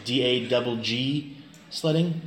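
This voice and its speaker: A man's voice: mid-range voice, a little slow, fairly bright tone. A middle-aged American man from Kansas chatting casually and jokily as an interview guest. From Kansas, United States. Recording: roomy, clearly noisy location recording.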